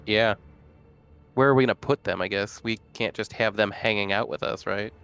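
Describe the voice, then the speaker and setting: Male tabletop player, somewhat low voice, casual table chat, working out plans aloud. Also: fairly even intonation, moderate pace, vocal fry, a bit bright, clean tone.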